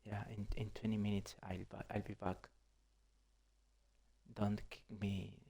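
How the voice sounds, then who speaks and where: A man from Argentina with an Argentine accent, chatting casually and haltingly with other players while gaming, Spanish his first language. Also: on the low side, level tone, vocal fry, mellow, slightly rough. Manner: halting, repeats words. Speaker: gamer streaming. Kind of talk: casual chat with online players.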